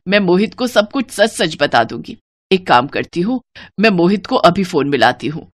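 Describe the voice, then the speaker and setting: A woman's voice: fairly low pitch, sing-song, moderate pace. A woman voicing a distressed, scolding elderly mother-in-law in a cartoon, wronged and pleading. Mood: disgusted, defiant.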